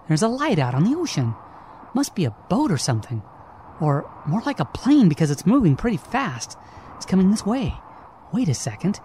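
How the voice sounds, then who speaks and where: A man's voice: sits high, dark tone, a little hiss or hum. A man playing a cocky, restless young hedgehog hero with casual, slangy delivery in an amateur radio drama. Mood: excited.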